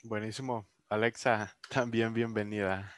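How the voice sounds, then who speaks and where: A man's voice: on the low side, fairly even intonation, measured pace, fairly bright tone, dry recording. A man hosting an interview, giving brief, friendly acknowledgements and questions. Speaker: podcast host.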